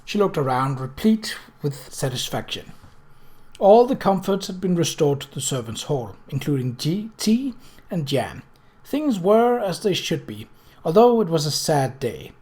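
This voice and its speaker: Male narrator for storybook prose, voice pitched a little high. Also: big pitch swings, measured pace, darkish tone. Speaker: audiobook reader.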